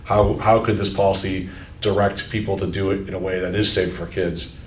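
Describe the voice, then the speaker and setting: A man's voice: low-pitched, flat delivery, normal speed, a little hiss or hum. A man, the superintendent, thinking aloud at a school board meeting, hesitant and full of restarts. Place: meeting room. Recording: echoey room, distant mic.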